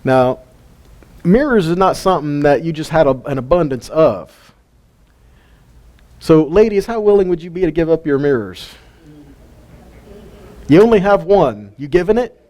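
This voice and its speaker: Male Bible teacher, a bit high-pitched, lively and chatty, heavy on rhetorical questions. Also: moderate pace, long pauses. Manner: animated, asks rhetorical questions, jokes with listeners. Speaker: teacher of a weekly Torah portion.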